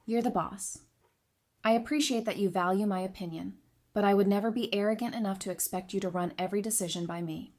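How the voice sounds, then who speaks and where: A woman's voice: medium pitch, steady tone, quick pace, darkish tone, close to an everyday voice. Actress as thoughtful, candid woman advisor. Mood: frank, considered. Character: perceptive, candid woman advisor who reads people. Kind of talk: fan audio drama dialogue.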